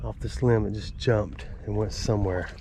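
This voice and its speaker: A man's voice: lowish voice, steady tone, normal speed, noisy background. A man with a Southern accent and a stuffed-up nose, narrating casually and rambling in a folksy, self-amused way while wading through a creek. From Alabama. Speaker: creek explorer vlogger. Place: outdoors wading in a city creek.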